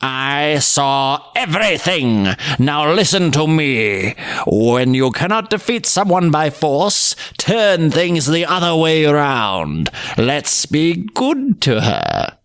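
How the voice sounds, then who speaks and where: A man voicing a sly, cold-hearted winter witch in a storybook, commanding and deliberate. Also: on the high side, very animated, a bit bright, clear, resonant tone, heavily acted. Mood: scheming. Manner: slow, deliberate, scheming.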